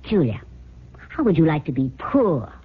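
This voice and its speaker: A woman's voice: low-pitched, very animated, normal speed, breathy edge, heavily acted. A woman playing a cold, persuasive scheming girlfriend in a 1970s radio drama, insistent and matter-of-fact. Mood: coolly persuasive. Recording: lo-fi phone-like old radio recording.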